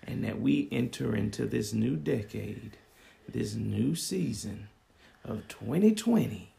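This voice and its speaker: Raspy, gravelly-voiced man. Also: medium pitch. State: slightly hoarse voice. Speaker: podcast co-host. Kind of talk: faith podcast chat.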